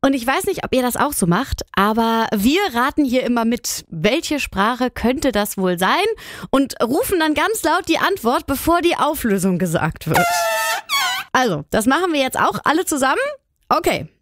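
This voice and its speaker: A woman's voice: high-pitched, sing-song, moderate pace, fairly bright tone. A woman hosting a children's radio show, chatting enthusiastically and speaking directly to young listeners. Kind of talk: presenting to children. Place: radio studio. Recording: music behind the voice. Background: faint music.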